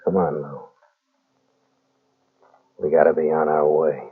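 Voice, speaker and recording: A man playing a cold, menacing husband back from the dead in an old radio drama, slow and quiet. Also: extremely low pitch, flat delivery, soft background music. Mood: quietly ominous. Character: menacing returned husband, Nicky, cold and calm. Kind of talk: 1940s radio acting. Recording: tinny narrow old radio tape.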